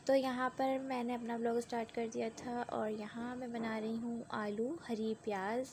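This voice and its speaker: A woman's voice: fairly high pitch, little pitch movement, normal speed, background noise. A young woman with a sore throat and a cough, chatting through a rambling vlog voice-over. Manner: rambling and conversational, with many tag fillers. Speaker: vlogger.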